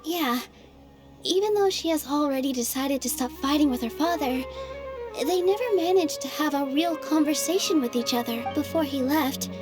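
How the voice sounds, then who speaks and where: A young woman voicing a gentle dancer in a game, telling her story earnestly. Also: high-pitched, moderate pace, music in the background. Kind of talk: voiced game dialogue.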